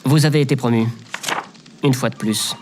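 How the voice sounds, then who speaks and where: A man's voice: mid-range voice, normal speed, background noise, natural voice. A man playing a bitter, sarcastic space station operator in an audio comedy, with a dry, weary manner. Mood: resentful. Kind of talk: scripted audio comedy fiction acting.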